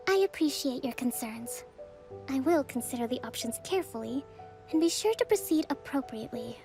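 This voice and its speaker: A gentle young priestess leader. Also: female voice, high voice, lively intonation, brisk, gravelly, music in the background. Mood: calm. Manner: soft, patient, composed. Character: young female island priestess leader, calm and gracious. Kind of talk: video game voice acting.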